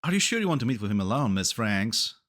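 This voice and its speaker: A man's voice: fairly low pitch, big pitch swings, fast, a bit bright, soft background music. A man playing a concerned, dutiful staffer in an amateur game fan dub.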